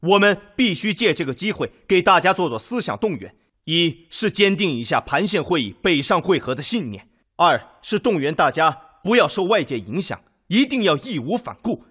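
An actor playing a steady, authoritative Red Army commander with oratorical, commanding delivery in a radio drama. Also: male voice, high voice, moderate pace, husky, exaggerated voice. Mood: resolute. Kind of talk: Chinese radio drama acting.

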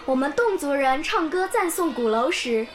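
An actress voicing a bright, curious young village girl who tells stories eagerly, in a radio drama. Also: high-pitched, normal speed. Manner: lively, eager storytelling. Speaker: pupil. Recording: background music under the scene.

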